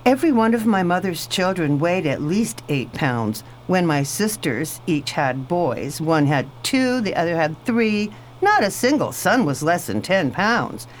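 A woman plays a posh superintendent's wife in a radio drama. She is a genteel, talkative, self-assured hostess with a rather low voice that swings expressively. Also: sing-song, a little slow, hardly any pauses, low-quality recording. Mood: gracious. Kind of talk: radio play acting. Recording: faint background noise.

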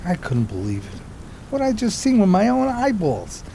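A man's voice: high-pitched, wildly swooping pitch, moderate pace, gravelly, warm tone, slight background noise, heavily acted. An actor playing a simple, loyal, streetwise homeless man of 1920s New York, talking in folksy slang for radio theater. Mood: amazed.

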